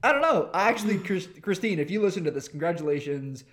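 Podcast guy with a slightly high voice, teasing and laughing a lot. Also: lively intonation, fast, a bit bright. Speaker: podcast co-host. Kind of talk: casual chat.

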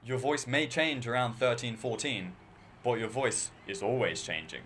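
A teenage boy with a low bass voice, chatting casually as podcast co-host, rambling and talking in bursts. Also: moderate pace, a bit bright, slight background noise. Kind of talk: casual chat.

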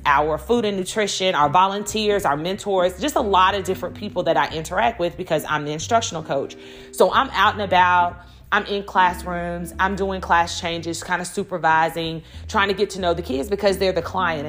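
A middle-aged woman hosting a solo podcast, talking conversationally and telling stories in a chatty, animated way with quoted asides. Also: fairly low pitch. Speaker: podcast host.

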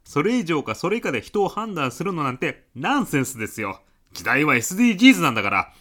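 A man's voice: a little high, big pitch swings, quick pace, a bit bright. A man playing an insistent, argumentative, eccentric businessman with absurd logic in a comedic radio drama. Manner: insistent, matter-of-fact, argumentative.

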